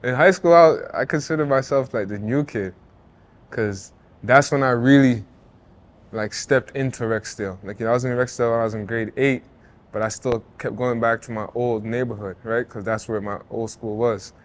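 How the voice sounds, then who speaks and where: A man in his thirties with a voice of middling pitch. He talks as an interview guest in a relaxed, earnest, conversational way. He often drops in "you know" and "like" and restarts his phrases. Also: moderate pace. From Jamaica, raised in Toronto. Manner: laid-back and earnest, with frequent "you know" and "like" and some restarts. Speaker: interview guest, former football player and artist. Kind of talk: casual reflective interview talk.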